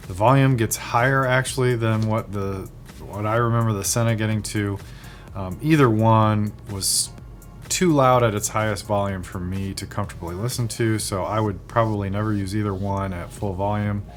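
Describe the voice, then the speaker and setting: A man giving a casual, unscripted product review, speaking in a measured way with thoughtful, hesitant phrasing. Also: lowish voice. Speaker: product reviewer, video host.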